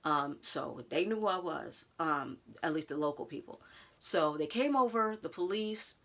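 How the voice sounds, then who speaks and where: A woman vlogger telling a personal story straight to her viewers in a casual, animated way. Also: sits low, normal speed. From United States. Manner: animated, talks straight to viewers with "y'all", acts out others' lines.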